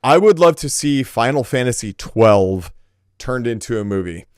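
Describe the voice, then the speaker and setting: A man's voice: medium pitch, expressive, normal speed, no room sound, clean studio sound. A man co-hosting a gaming podcast, talking casually and enthusiastically with an informal turn of phrase.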